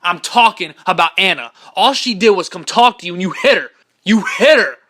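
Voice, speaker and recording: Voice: medium pitch, expressive, normal speed, sharp tone. An amateur actor playing a loyal, protective young man in an audio drama, earnest and intense. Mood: angry, confronting. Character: loyal, protective, angry young male best friend.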